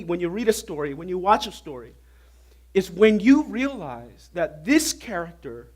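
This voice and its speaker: A pastor preaches with a hoarse, raspy voice as he gets over a flu, his pitch sitting high for a man. He talks in an animated way and keeps quizzing the congregation with questions. He is speaking in a church hall before an audience. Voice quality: normally deep voice, now raspy. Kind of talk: sermon.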